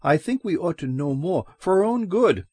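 A man voicing a nosy, suspicious small-town woman gossip in a high, sharp tone. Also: sing-song, normal speed, slightly rough, no room sound, heavily acted.

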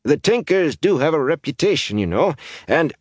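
A man voicing a scrawny, cantankerous old village councilman with a scratchy voice and gruff, blustering manner in an audiobook. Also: a little high, very animated, moderate pace, no room sound, pitched above the performer's own voice. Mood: hesitant, uneasy.

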